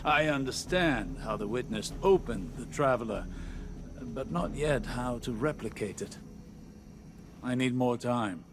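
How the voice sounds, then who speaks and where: A man's voice: mid-range voice, expressive, a little slow, background noise. An actor voicing a wary, analytical male scholar in a video game, with careful, precise speech. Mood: cautious.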